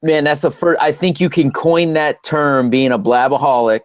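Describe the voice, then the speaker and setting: A man's voice: fairly high pitch, fairly even intonation, moderate pace. A man hosting a live online talk show, enthusiastic and encouraging, addressing his audience directly. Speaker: host. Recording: dry, dull lo-fi sound.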